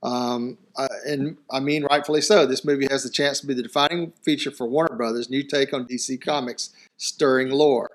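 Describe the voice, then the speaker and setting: Male co-host, even and matter-of-fact, reads items plainly. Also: medium pitch, brisk, hardly any pauses. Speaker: podcast co-host. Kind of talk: casual chat, reading news items.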